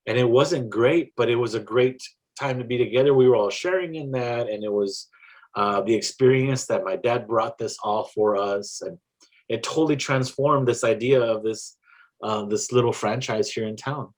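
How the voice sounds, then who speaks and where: A man from South Texas with a South Texas accent, a poet talking about his work in a casual interview, reflective and halting, with many restarts. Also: medium pitch, low-quality recording. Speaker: poet guest.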